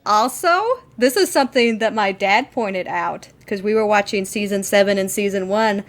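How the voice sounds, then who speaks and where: A woman's voice: medium pitch, moderate pace. A woman with a mid-range voice co-hosts a fan podcast. She talks in a casual, conversational way and sounds enthusiastic about her subject. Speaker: podcast co-host.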